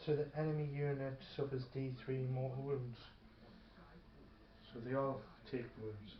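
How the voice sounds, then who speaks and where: A man chatting casually at a game table, talking through rules haltingly and thinking aloud. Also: medium pitch, fairly even intonation. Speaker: tabletop wargame player. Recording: muffled, echoey room with faint noise.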